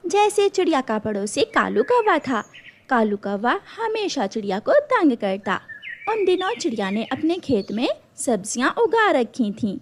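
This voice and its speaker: A woman's voice: high voice, big pitch swings, a little slow, a little hiss or hum. A woman storyteller narrating a scripted children's cartoon tale.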